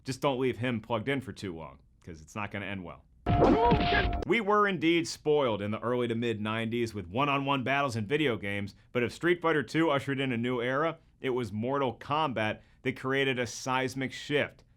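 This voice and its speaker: A man's voice: mid-range voice, lively intonation, moderate pace, fairly bright tone, soft background music. A man in his forties with an American accent, hosting with an emphatic, jokey, scripted comedic narration. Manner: emphatic, wry, jokey delivery. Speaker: host.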